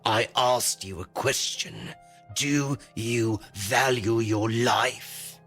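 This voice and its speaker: A cold, controlling stalker from an audio thriller, acted by a man, taunting his victim. His voice is husky and hard-edged, every threat drawn out slowly. Faint music plays underneath. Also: medium pitch, a bit bright. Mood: angry, threatening. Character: sinister, menacing male stalker, cold and controlling captor. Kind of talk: audio thriller drama acting.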